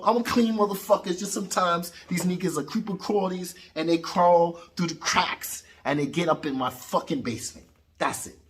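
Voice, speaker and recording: A man in his late forties from New York talks boxing in a basement gym. His voice sits a bit high for a man. He is loud and boastful, swears constantly and talks in streetwise slang. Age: 40s. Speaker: boxing vlogger. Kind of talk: casual profane vlog rant.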